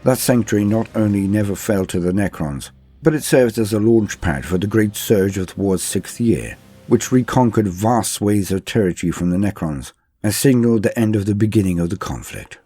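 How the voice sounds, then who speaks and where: A man giving a solemn, measured dramatic reading of science-fiction war lore in a formal storytelling style. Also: lowish voice, lively intonation, warm tone, breathy edge, faint music behind. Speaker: narrator.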